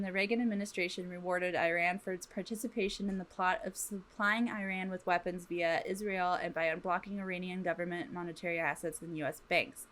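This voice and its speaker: A woman's voice: lowish voice, a bit bright. Casual, slangy woman podcaster. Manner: informal, slangy, swears freely. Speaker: podcast co-host. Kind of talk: casual true crime storytelling from notes.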